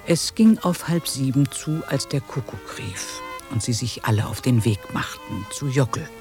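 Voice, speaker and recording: A man's voice: mid-range voice, expressive, a little slow. Man narrating a radio play over music. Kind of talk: literary radio drama narration.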